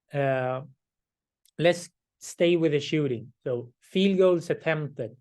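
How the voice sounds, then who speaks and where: A hoarse middle-aged man lectures a class at an unhurried, deliberate pace. His voice sits a little high for a man, and he keeps clearing his throat. He walks through each step and checks in with "right?" as he goes. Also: a little mellow. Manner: slow, explaining step by step, frequent "right?" check-ins. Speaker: instructor.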